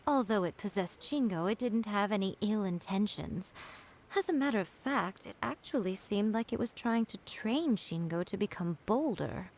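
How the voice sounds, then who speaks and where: A woman's voice: medium pitch, animated, moderate pace, darkish tone. A woman voicing a haughty, teasing female fox-spirit shrine maiden in a video game, poised and knowing. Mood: smug, unhurried.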